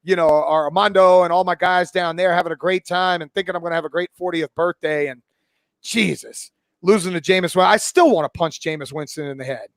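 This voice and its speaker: A man in his forties with a notably high-pitched voice, recorded dry without room sound. He talks sports like a pundit in casual conversation. He is blunt and opinionated, and swears freely. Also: steady tone, brisk, no room sound. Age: 40s. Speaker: college football pundit and podcast guest.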